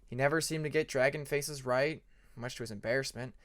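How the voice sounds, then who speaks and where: A man's voice: mid-range voice, brisk. Male storyteller reading dramatic fiction. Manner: expressive, acts out dramatic passages. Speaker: fan fiction narrator. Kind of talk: dramatic scripted audiobook narration.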